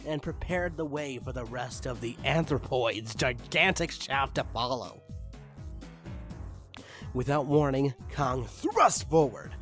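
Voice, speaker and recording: A man reading fan fiction aloud in a theatrical, amused way, stumbling now and then. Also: medium pitch. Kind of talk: dramatic story reading with amused asides. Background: music behind the voice.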